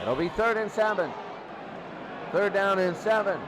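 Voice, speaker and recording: A high-pitched male play-by-play commentator calls a football game as if for live radio. His calls are short and clipped, often repeating a phrase, and loud noise surrounds him throughout. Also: expressive, a little slow. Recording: very noisy game ambience.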